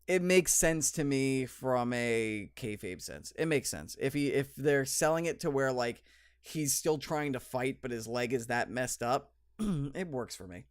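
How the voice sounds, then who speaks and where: A man's voice: medium pitch, animated, a little slow, smooth, dry recording, studio quality. A young man with a slight cold hosting a solo podcast, reviewing wrestling in a rambling, enthusiastic way with many fillers. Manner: rambling, lots of ums and restarts, enthusiastic.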